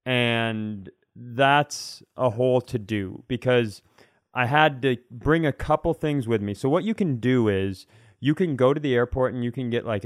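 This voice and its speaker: A man's voice: mid-range voice, no room sound, clean studio sound. A man in casual podcast chat, telling personal stories in a frank, conversational, comic way. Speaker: podcast co-host and comedian.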